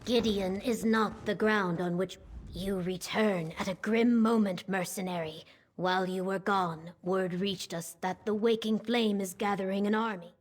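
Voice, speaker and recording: An actress playing a stern fantasy leader in a video game, with a formal, solemn manner. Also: female voice, mid-range voice, steady tone, unhurried, lightly acted. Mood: grave. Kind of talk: dramatic video game acting.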